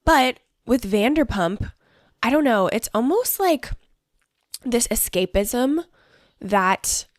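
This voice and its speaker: A woman's voice: fairly high pitch, animated, slow, pauses often, dry recording, clean studio sound. Bubbly young woman with a stuffy nose. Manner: bubbly, chatty, fillers like "like" and "honestly". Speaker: podcast host. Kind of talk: casual solo podcast chat.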